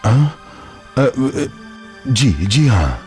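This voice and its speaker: A man's voice: mid-range voice, sing-song, extremely slow, a little mellow. A man voicing a kindly, middle-aged village chief and protective father in a cartoon mythological drama, earnest and formal. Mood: troubled, hesitant. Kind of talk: animated mythological drama dub. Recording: background music under dialogue.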